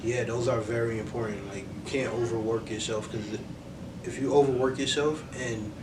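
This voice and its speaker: Young male interview guest, earnest and reflective, conversational, average pitch, recorded off-mic over clear noise. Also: fairly even intonation, normal speed, distant mic. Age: young adult. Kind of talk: casual sit-down interview. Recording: roomy, off-mic, noisy with low rumble.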